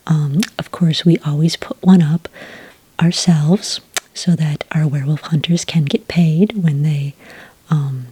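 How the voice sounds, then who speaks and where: A woman speaking in a soft, whispery voice close to the mic, playing a polite, unhurried agent in an ASMR roleplay. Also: low-pitched, dry recording. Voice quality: breathy, whispery. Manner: gentle, unhurried, politely procedural. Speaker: ASMR roleplayer acting as a werewolf report agent.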